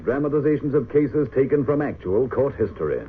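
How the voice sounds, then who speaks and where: A man's voice: medium pitch, sing-song, brisk. A man narrating an old radio drama in a grave, theatrical, formal announcer's style. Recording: noisy narrow-band old radio recording.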